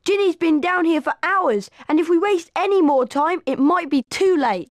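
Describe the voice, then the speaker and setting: A woman's voice: extremely high pitch, normal speed. A woman voicing a brave, earnest young schoolboy wizard in a video game, speaking with urgency. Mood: urgent, determined. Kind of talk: video game character acting.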